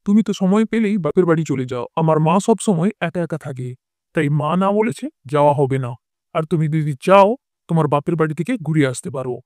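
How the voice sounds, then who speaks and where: Fast, high-voiced stubborn husband, acted by a man. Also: lively intonation, a little mellow, dry recording, clean studio sound, close to an everyday voice. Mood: irritated. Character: stubborn husband devoted to his mother. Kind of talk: Bengali cartoon fairy-tale voice acting.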